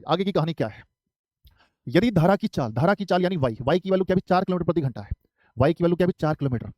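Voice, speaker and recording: Male math teacher from Haryana in his thirties, slightly high, close and dry, drills every step. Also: dark tone, no echo. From Haryana, India. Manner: repetitive, asks and answers his own questions. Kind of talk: live online exam-prep math class.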